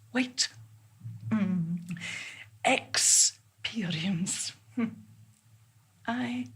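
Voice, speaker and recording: A woman's voice: on the high side, fairly bright tone, breathy edge, heavily acted. An actress playing a frail, confused elderly woman in a theatrical monologue, wistful and often losing her words. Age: older.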